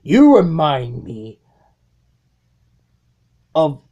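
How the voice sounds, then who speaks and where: A woman playing a cold, contemptuous robot warlord in a comic dub, speaking with slow, grandiose menace. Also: low-pitched, sing-song, dark tone, lo-fi sound, pitched below the performer's own voice, exaggerated voice. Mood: menacing, controlled. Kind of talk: comic dub dramatic acting.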